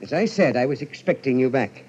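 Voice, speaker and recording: An actor playing a stern, sharp-tongued old rich uncle in an old-time radio horror play. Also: male voice, medium pitch, animated, fairly quick, slight background noise, natural voice. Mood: sardonic, unyielding. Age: older. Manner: sardonic, haughty. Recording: muffled old radio recording.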